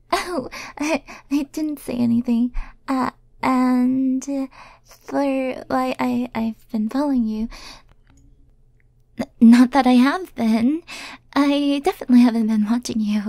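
Casting: woman to play shy, obsessive young female admirer for roleplay audio; soft mellow tone, jittery breathy delivery, very dry close sound. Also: high voice, dry recording, heavily acted. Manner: breathy murmuring, often dropping to a whisper; nervous, hesitant, stammering when flustered. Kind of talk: intimate roleplay audio acting, first-person monologue.

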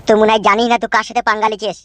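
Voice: mid-range voice, little pitch movement, racing, dry recording, voice pushed down, natural voice. A voice performer playing an arrogant young bully in a cartoon, who makes boastful threats and insults. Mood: arrogant, threatening. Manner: boastful threats, then wailing in pain. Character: arrogant young male bully, son of a politician. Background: background music.

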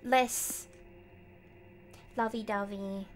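A woman's voice: medium pitch, fairly even intonation, very slow. A middle-aged woman giving casual gameplay commentary, musing aloud to herself. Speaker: game streamer and voice actress. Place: indoor room, seated at a desk. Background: game music.

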